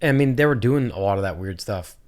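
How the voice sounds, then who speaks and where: A man's voice: lowish voice, brisk. Man casually telling a car story. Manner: animated storyteller, acts out quotes. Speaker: podcast host.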